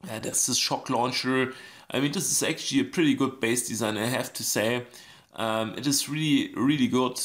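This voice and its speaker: A man with a scratchy voice giving casual commentary on a strategy game, thinking aloud with many pauses and ums. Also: medium pitch, a bit bright. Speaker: gaming channel host.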